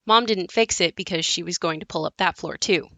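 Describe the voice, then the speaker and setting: A woman performs a grown daughter looking back on a run-down family house in an audio play. Her voice is on the low side and recorded dry and close. She tells it like a reflective monologue, with wry understatement. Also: a little fast, a bit bright, dry recording. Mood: wry, matter-of-fact. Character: wry grown daughter narrating memories of her crumbling childhood home.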